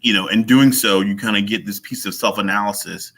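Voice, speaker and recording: A man's voice: lowish voice, steady tone, brisk, fairly bright tone, breathy edge. A man from Virginia, a guest author in an interview, who talks in a thoughtful, hesitant way with many fillers. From Virginia, USA. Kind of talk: casual interview.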